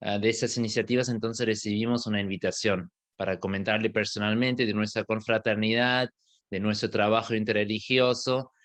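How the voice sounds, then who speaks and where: Thoughtful imam with a foreign accent. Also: male voice, on the low side, little pitch movement, fairly bright tone. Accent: foreign, partly Argentine Spanish. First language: not Spanish. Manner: measured, thoughtful, many hesitation sounds and restarts. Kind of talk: recounting a personal experience in an interview.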